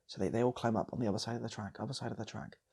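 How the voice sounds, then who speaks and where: A man improvising a comic story in a soft, breathy ASMR style, often repeating phrases and slipping into whispers. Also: on the low side, fairly even intonation, rapid-fire. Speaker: storyteller, channel host.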